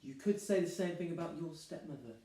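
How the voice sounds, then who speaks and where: A man's voice: high-pitched, very animated, a little slow, a little mellow. Young man as put-upon groom, emphatic stage delivery, exasperated, very echoey, far from the microphone. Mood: defensive. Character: harried, put-upon groom recounting a family crisis. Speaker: drama student performer. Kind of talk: dramatic stage monologue. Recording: very echoey room, distant microphone.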